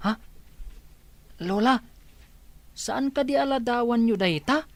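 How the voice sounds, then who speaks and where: A woman's voice: a little high, slow, dry recording. A woman acting as an earnest, protective grandchild in a radio drama. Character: older grandchild, protective young sibling.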